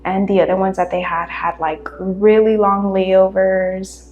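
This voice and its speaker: A woman whose first language is Spanish, hosting her podcast in a relaxed, rambling monologue from her room, laughing often. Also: mid-range voice, a little slow, roomy sound, phone-quality sound. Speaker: podcast host. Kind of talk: casual monologue.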